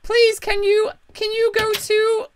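A man's voice: very high-pitched, monotone, slow, noisy background, voice pushed up. A man voicing a helpless, pleading trapped stranger in an overplayed way for a game roleplay cartoon. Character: pleading fake human stranger, a monster in disguise.

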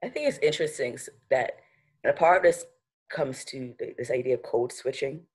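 A woman's voice: very deep voice, a little fast, darkish tone, breathy edge. A young woman talking on a video call from her living room, thoughtful and careful. She often restarts her sentences while she works out her point, and her voice is picked up by a laptop webcam. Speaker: panelist. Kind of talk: panel discussion over video call.

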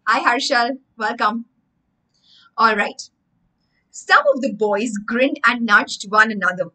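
Bright-voiced young woman teaching by storytelling. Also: a little high, takes it slowly, low-quality recording. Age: young adult. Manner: theatrical, emphatic, explains words with synonyms. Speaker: children's English teacher and storyteller. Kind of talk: livestream storytelling lesson with vocabulary asides. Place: indoor room with bookshelves.

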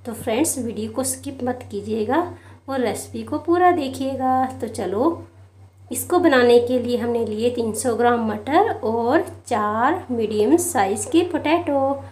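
A woman's voice: fairly high pitch, unhurried, a little mellow, roomy sound, a little hiss or hum. A woman hosting a home cooking channel, talking viewers through a recipe in a casual, friendly way. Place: home kitchen.

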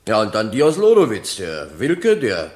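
A man's voice: lowish voice, huge pitch swings, normal speed. An actor playing a chatty, irreverent working man who testifies in a rambling, colloquial way in a German radio play. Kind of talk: German radio courtroom drama acting.

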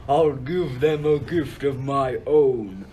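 A man's voice: medium pitch, slow pace, dark tone, close to an everyday voice, low-quality recording. A man playing Zeus as a pompous, vindictive king of the gods in an amateur radio play. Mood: angry. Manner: pompous, scornful pronouncements. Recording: faint background noise.

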